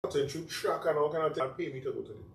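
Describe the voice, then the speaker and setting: A man's voice: on the high side, rapid-fire, gravelly. Reflective Trinidadian man talking from across a room. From Trinidad and Tobago. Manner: reflective, loose and repetitive. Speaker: host. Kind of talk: casual chat. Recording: roomy sound, mic at a distance, faint noise.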